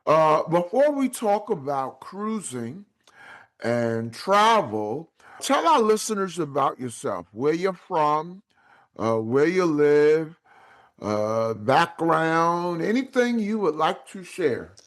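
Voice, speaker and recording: Animated New man hosting a podcast. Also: a little high, slow. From Brooklyn, New York. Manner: enthusiastic, with frequent uh fillers. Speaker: podcast host. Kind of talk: interview podcast over video call. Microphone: video call.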